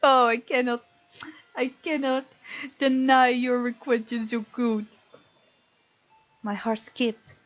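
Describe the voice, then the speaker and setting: Higher-voiced woman doing let's play commentary. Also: highs cut off. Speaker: host of a visual novel let's play. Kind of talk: let's play commentary, reading game text aloud. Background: game music.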